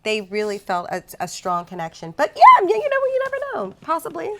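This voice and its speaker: A woman, an actress guest, talking in an animated way with playful stumbles. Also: mid-range voice, brisk. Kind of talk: casual interview chat.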